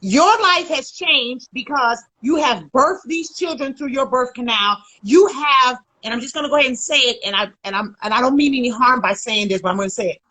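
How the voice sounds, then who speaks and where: A woman relationship coach in a casual conversation, loud, emphatic and blunt, swearing freely. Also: sits high, a little fast, few pauses, low-quality recording.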